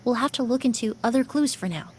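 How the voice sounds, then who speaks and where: A woman's voice: a little high, quick pace, a little mellow, breathy edge, no room sound. An actress playing a courteous, composed young woman in a game, speaking precisely. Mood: composed, clinical. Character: poised, courteous young woman, calm and observant.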